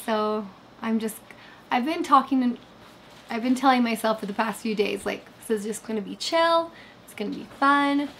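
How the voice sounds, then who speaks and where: Slightly hoarse woman chatting on livestream. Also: on the high side, a little hiss or hum. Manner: chatty, reads chat aloud, many fillers. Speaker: livestream host. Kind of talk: casual livestream chat. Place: bedroom, sitting in bed. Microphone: webcam framing.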